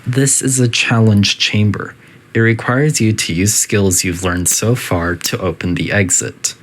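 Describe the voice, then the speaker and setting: A synthetic voice playing a politely detached, quietly menacing artificial intelligence that makes formal, deadpan announcements in a video game test facility. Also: sits low, a little slow. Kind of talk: scripted game announcer narration.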